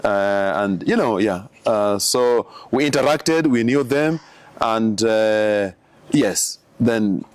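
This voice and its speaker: A man in his forties from Uganda, a missionary priest answering interview questions slowly, with many pauses and fillers. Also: lowish voice, no echo. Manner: slow, halting, many fillers.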